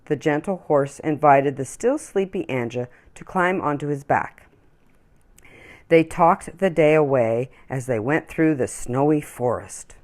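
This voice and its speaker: A woman reading a children's story aloud in an expressive, deliberate storytelling manner. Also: low-pitched. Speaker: children's story-time reader.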